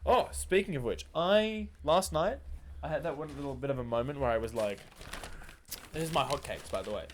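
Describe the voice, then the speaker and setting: A man's voice: medium pitch, a little fast, noisy background. A man hosting a podcast in casual banter, teasing and informal, swearing freely. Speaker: podcast host.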